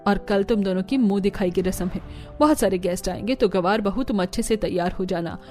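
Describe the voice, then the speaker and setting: A woman's voice: mid-range voice, brisk, a little mellow, faint music behind, natural voice. A woman voicing a proud, bossy middle-aged mother-in-law in a Hindi cartoon drama, with a scolding, commanding manner.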